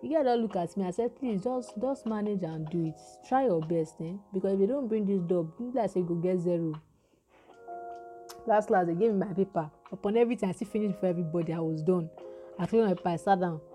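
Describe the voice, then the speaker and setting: Deep-voiced Nigerian young woman storyteller. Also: fast talker, soft background music. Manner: animated storytelling with quoted dialogue. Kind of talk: casual chat.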